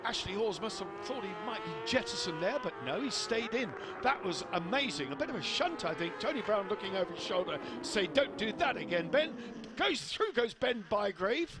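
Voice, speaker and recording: A man giving live motorsport commentary, excitable and quick to lift his voice at each incident on track. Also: sits high. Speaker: race commentator. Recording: loud engine noise behind. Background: racing engines.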